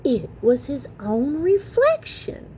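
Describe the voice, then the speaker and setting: A woman reading a children's story aloud in an expressive, animated storytelling manner. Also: sits high, takes it slowly. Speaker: children's story reader and channel host. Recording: noticeable background noise.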